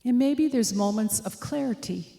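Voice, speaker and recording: A woman leading a guided meditation, giving gentle, inviting instructions as soft suggestions. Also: on the high side, moderate pace, vocal fry. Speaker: meditation leader.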